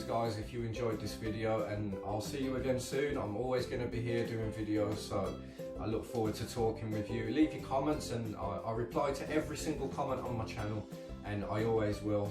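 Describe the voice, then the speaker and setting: A man's voice: mid-range voice, far from the mic. Earnest man, roomy, guitar behind. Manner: earnest, repetitive, direct address to viewers. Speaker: vlogger. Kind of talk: motivational talk to camera. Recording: roomy echo, from off-mic, music behind. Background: guitar music.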